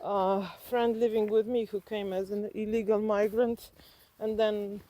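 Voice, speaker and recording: A woman from Slovenia with a Slovenian accent, telling personal stories reflectively in conversation and haltingly thinking aloud as an interview guest. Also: medium pitch, little pitch movement, mellow, poor audio.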